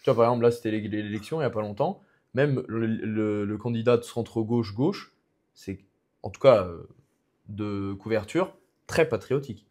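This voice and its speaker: A man's voice: lowish voice, normal speed, a little mellow. A young man from France, an MMA fighter as podcast guest, talking in a rambling way full of restarts and fillers. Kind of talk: casual podcast conversation.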